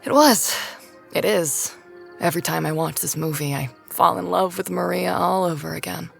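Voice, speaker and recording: Low-voiced, gravelly, nerdy woman. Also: faint music behind. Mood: tender, awkward. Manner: halting, blunt, casually profane. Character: nerdy, foul-mouthed, smitten adult woman, self-conscious, hot-tempered. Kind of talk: naturalistic audio drama acting.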